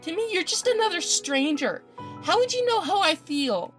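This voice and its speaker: A woman's voice: extremely high pitch, sing-song, moderate pace, soft background music. A woman playing a troubled, fearful teenage student in an audio story, with a distressed, emotional delivery. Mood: upset, defensive. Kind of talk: dramatic fan fiction audio reading.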